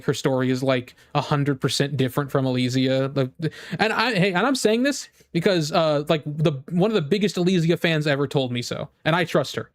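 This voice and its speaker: A man streaming casually to his viewers, rambling and repeating himself as he gives emphatic opinions in fan-rant style. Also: on the high side. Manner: rambling, with frequent "like" and repeated phrases. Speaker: game streamer.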